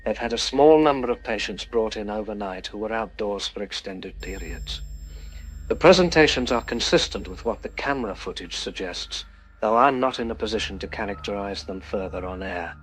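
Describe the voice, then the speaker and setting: A man's voice: on the low side, fairly quick, slightly rough, soft background music. A man playing a cautious, sober doctor interviewed as an expert in a scripted news broadcast. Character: cautious, sober male doctor advising the public.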